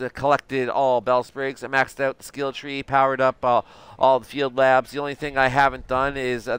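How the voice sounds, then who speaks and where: A man in his thirties doing casual livestream game commentary, halting as he thinks aloud and reads notes. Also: medium pitch, fairly bright tone, clean tone, dry recording. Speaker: game streamer.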